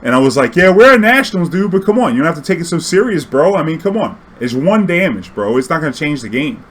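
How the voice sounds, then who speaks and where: A man casually telling an anecdote in a slangy, swearing, animated way, acting out bits of dialogue. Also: on the high side, fast. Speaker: storyteller recounting his own tournament games.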